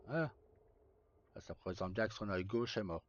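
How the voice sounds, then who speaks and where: A man's voice: on the low side, expressive, normal speed. A man giving casual live game commentary, muttering short remarks to himself as a streamer. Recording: muffled audio with faint background noise.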